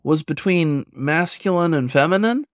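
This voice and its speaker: A man's voice: on the high side, animated, unhurried, dry recording, clean studio sound, pitched above the performer's own voice. A man voicing a young male werewolf in a story, curious and unsure, with a tentative, questioning manner. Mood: puzzled.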